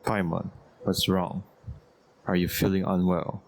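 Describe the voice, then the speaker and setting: Deep-voiced, calm, earnest traveler. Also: male voice, unhurried, creaky, loud music behind the voice. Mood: concerned. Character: calm young male traveler, earnest and caring. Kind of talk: video game voice acting.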